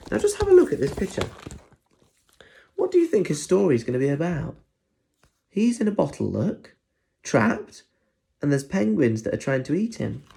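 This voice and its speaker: A man's voice: medium pitch, creaky, darkish tone. A man reading a picture book aloud to children with lots of expression, breaking off for chatty asides and questions. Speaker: primary school teacher reading aloud.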